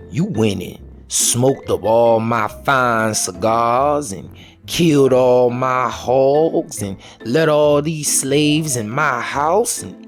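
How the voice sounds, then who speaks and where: A man voicing an angry, vengeful old plantation master in a folktale, stern and threatening in a folksy way. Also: mid-range voice. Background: music.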